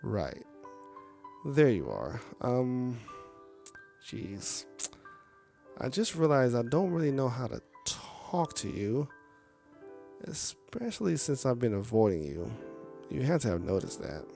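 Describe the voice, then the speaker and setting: A man's voice: mid-range voice, sing-song, slow, vocal fry, long pauses, warm tone, full character voice. A man voicing a sad, insecure male clown puppet who gets defensive, in a visual novel game. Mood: awkward, apologetic. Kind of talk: visual novel character reading. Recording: music faintly behind the voice. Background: music.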